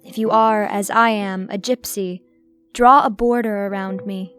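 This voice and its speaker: A woman's voice: mid-range voice, steady tone, measured pace, fairly bright tone, soft background music. A woman performer giving a lyrical spoken-word poetry recitation.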